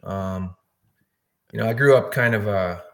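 A man's voice: lowish voice, a little slow, a bit bright. Rambling man in his fifties from Wisconsin. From Wisconsin, USA. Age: 50s. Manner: friendly, rambling, repeats words. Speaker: podcast guest. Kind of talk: casual interview talk.

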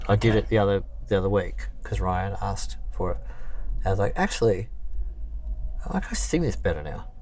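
A man's voice: low-pitched, a little mellow, poor audio. A man, a singer-songwriter, talking casually and rambling with many fillers and restarts. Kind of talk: casual conversation.

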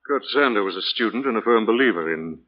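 An actor playing a composed, authoritative gentleman investigator, reassuring and inquisitive, in 1940s radio style. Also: lowish voice, animated, fast talker. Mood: calm, inquisitive. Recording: dull lo-fi 1940s radio recording.

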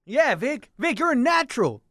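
A man hosts a comedy podcast with casual, teasing banter. His voice sits high and moves around a lot in pitch. He coaxes his partner along, and the sound is dry. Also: big pitch swings, normal speed, a bit bright, dry recording. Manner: teasing, coaxing, repeatedly addresses co-host.

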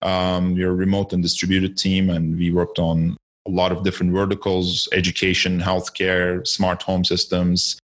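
Deep-voiced man from Turkey. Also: completely flat delivery, moderate pace, hardly any pauses. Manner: reflective, storytelling, with frequent self-corrections. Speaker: guest, startup founder. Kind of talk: conversational interview.

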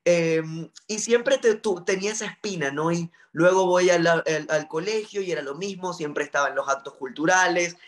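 A man in his thirties with a Venezuelan accent from Maracaibo talks about his past as an interview guest. His voice sits a little high for a man. He speaks earnestly and reflectively, pausing on fillers as he goes. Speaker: journalist guest. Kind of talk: interview storytelling.

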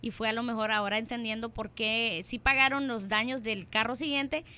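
Mexican lady hosting, chatty, lots of "ujú", bright voice. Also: on the high side, fairly even intonation, a little fast, clear, resonant tone, faint music behind. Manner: chatty, frequent affirming fillers. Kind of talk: casual radio chat.

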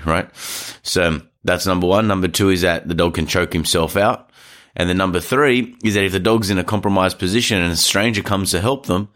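A man with a very low, nasal voice, a little stuffed up from hay fever. He talks casually and at length, rambling through a story and correcting himself as he goes. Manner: rambling, animated storytelling with restarts. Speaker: dog trainer podcast host. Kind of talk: casual advice talk. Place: studio.

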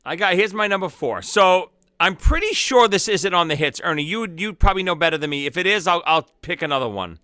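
A man co-hosting a podcast in casual chat, chatty and quick to laugh, a little nervous about his picks. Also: high voice, lively intonation, sharp tone, no room sound. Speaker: podcast co-host.

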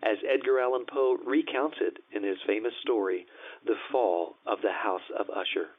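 A man's voice: on the low side, moderate pace, natural voice. A man as an ominous 1940s radio announcer, tinny. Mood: ominous, dramatic. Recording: tinny old-time radio sound, loud music.

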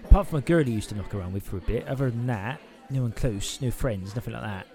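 Casting: male actor for a vague, guarded man off the streets, soft dark timbre, clipped hesitant radio-play dialogue. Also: medium pitch, animated, quick pace, faint music behind, close to an everyday voice. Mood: guarded, unhelpful. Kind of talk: naturalistic audio-drama dialogue.

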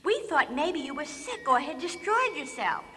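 A woman's voice: high-pitched, big pitch swings, moderate pace, sharp tone, in a large hall, slight background noise, heavily acted. A woman playing a naive, fretful female alien in a comic radio drama, politely earnest and full of questions. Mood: worried. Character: naive, fretful blue-green female alien from a distant planet. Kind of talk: comic radio theater acting.

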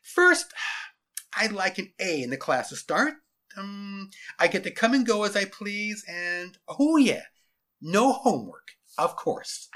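A man voicing a cocky, easily rattled schoolboy with an excitable delivery in an audiobook story. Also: sits high, slow pace, voice pushed up, full character voice. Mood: gloating, cheeky. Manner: excitable, stammering.

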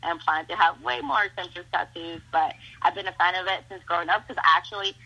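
A woman's voice: on the low side, quick pace, vocal fry, pushed and bright, breathy edge, dull audio. A young woman from Mexico whose first language is Spanish, a pro wrestler chatting upbeat and gushing in a casual interview, full of "like" and "awesome". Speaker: professional wrestler.

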